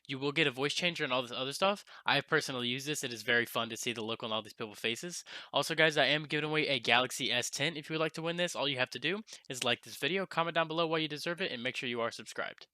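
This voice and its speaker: A man's voice: mid-range voice, rapid-fire, hardly any pauses, pushed and bright. A young man narrating a casual step-by-step tutorial, speaking informally and directly to his viewers. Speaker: video creator giving a tutorial.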